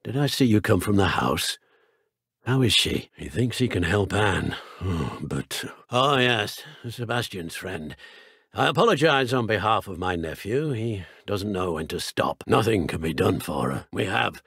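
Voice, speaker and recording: A gravelly, husky older British wizard from a video game. He is a strict guardian uncle with a short temper, curt and scolding, and his pitch moves widely as he talks. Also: lively intonation, measured pace, a bit bright, no echo, studio quality, heavily acted. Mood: weary, stern.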